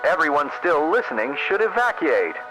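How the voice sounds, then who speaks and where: A man's voice: a little high, very animated, normal speed, bright, forceful tone, soft background music, poor audio. A man playing a local radio host in a found-footage drama, talking informally and offhand on a live broadcast. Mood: casual, uneasy. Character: casual male local radio host during hurricane.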